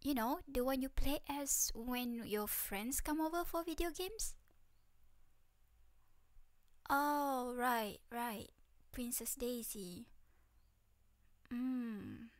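A woman's voice: high voice, no room sound. A woman playing a sweet, playful, doting young girlfriend in an ASMR roleplay, cutesy and tender, close to the microphone. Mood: soft, affectionate. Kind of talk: ASMR roleplay, scripted acting.

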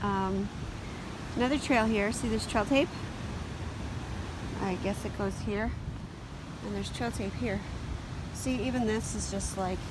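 Tired woman, middle-aged, solo outdoor vlogger, musing aloud casually; very noisy location sound. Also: mid-range voice. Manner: unhurried thinking aloud in short phrases. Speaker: solo wilderness canoe camper vlogger. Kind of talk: casual spoken-to-camera trail vlog. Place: outdoors in forest and on river. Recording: very noisy outdoor handheld recording, rushing water.

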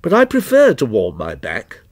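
A man's voice: medium pitch, wildly swooping pitch, moderate pace, no echo. A man voicing a scornful, aloof stranger who asks probing, needling questions.

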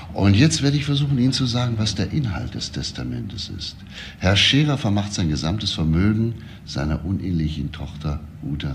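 An actor playing a composed, persistent police detective in a crime radio play, asking probing, deliberate questions. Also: male voice, sits low, vocal fry, full character voice. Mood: insistent. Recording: faint background noise.

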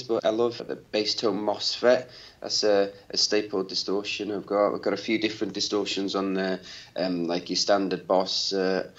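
A bassist in his thirties whose first language is Welsh, giving thoughtful, halting answers over a video call. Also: lo-fi sound. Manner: thoughtful, searching for words, many restarts. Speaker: bassist guest. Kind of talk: casual interview answers. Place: home room. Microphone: laptop video call.

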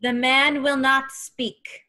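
A woman's voice: sits high, little pitch movement, bright, forceful tone, heavily acted. A woman playing a cryptic alien seer who speaks in solemn, oracular broken phrases. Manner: slow, oracular, broken syntax.

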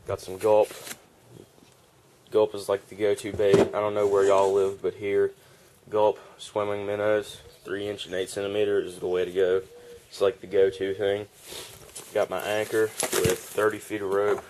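Young man, laid-back rambling vlogger, low flat delivery, handheld camera mic. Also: moderate pace, background noise. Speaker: fishing vlogger. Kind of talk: casual show-and-tell vlog. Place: outdoor wooden porch.

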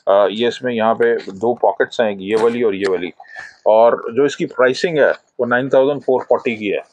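A man's voice: medium pitch, moderate pace, rough recording. A middle-aged man in a shop, explaining binoculars in a product review, hesitating often with "uh" fillers. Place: binocular shop, indoors, shelves of boxes. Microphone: lapel mic.

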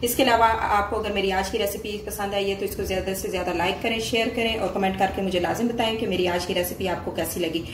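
A woman walks viewers through a home recipe one step at a time, friendly and plain, with small pauses. Her voice sounds a little distant from the microphone, in a reverberant room. A faint hiss sits under it. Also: medium pitch, fairly even intonation. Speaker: cooking channel host. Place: home kitchen. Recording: echoey room, voice a bit distant, faint noise.

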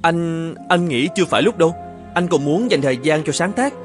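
A man voicing an earnest, evasive married painter in an animated folktale, with a polite and hesitant manner. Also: on the high side, brisk, soft background music, natural voice. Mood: reluctant.

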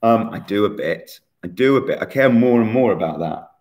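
Man in his forties, fairly low voice, teasing quiz host, laptop webcam at home. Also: animated, normal speed, a little mellow. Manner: chatty, teasing, self-mocking. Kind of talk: casual quiz hosting. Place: bright room at home.

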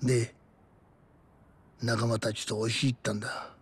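An actor playing a world-weary man who narrates his rough gang youth, reflective and rough-spoken, in a dramatic game. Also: mid-range voice, little pitch movement, unhurried. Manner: rough masculine speech, reflective. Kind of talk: dramatic first-person game narration in Japanese.

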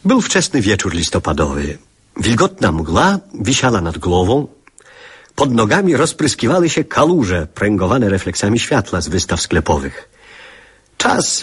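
An actor playing an older writer who narrates memories of his youth in a lyrical radio drama, with a husky voice. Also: lowish voice, full character voice. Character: older male writer recalling his youth, nostalgic and reflective.

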